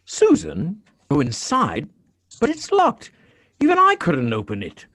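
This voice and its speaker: A man's voice: a little high, huge pitch swings, a little slow, fairly bright tone, no room sound, clean studio sound, full character voice. A man reading the part of a puzzled, plain-spoken middle-aged policeman and father in a radio play, in a folksy manner. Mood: sceptical. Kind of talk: live radio drama script reading.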